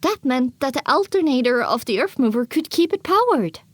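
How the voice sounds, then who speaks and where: A woman narrating a first-person story as an audiobook, expressive and dramatic, on a close microphone. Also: high voice, moderate pace, no echo.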